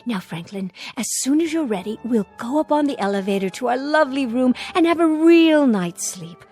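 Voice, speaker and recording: An actress playing an anxious, devoted wife in a radio drama, genteel, careful and imploring. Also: female voice, high-pitched, animated, normal speed, a little mellow, soft background music. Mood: grateful, soothing.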